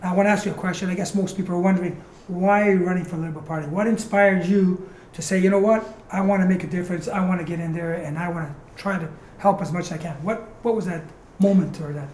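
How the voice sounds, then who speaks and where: A host interviewing a guest on a community TV show, in a warm, friendly manner. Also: mid-range voice, fairly even intonation, fast, a little hiss or hum. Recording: echoey room.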